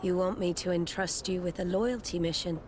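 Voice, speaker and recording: An actress voices a stern seafaring admiral in a game, in a lowish female voice. Her delivery is grave, formal and deliberate, with loud ambience behind her. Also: fairly even intonation, background noise, natural voice. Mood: solemn, authoritative. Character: commanding female sea admiral, solemn and stern. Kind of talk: dramatic game dialogue.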